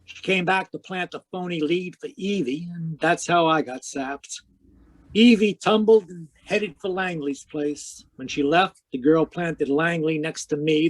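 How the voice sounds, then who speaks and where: A man acts out a hard-boiled private eye from an old radio play. His voice sits a little high and is recorded very dry. He talks in terse, clipped lines with a wry, world-weary cynicism. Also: dry recording. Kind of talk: 1940s radio detective acting and narration.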